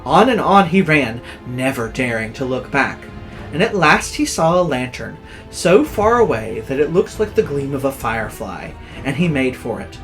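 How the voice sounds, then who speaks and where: A man's voice: mid-range voice, very animated, normal speed, a bit bright, faint music behind. A man narrating horror stories as host, in a dramatic, theatrical scripted style.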